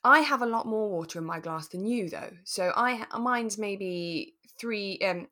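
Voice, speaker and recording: A woman co-hosting a podcast, explaining things step by step in a relaxed, conversational way. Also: mid-range voice, measured pace. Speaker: podcast co-host.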